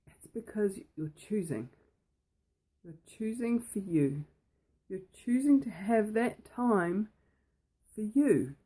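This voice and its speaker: A woman with a croaky voice talks straight to the viewer as a host. Her manner is playful and repetitive, and she keeps asking questions. Her pitch sits in an ordinary middle range. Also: expressive, slow pace, pauses often, mellow. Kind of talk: casual talk to camera.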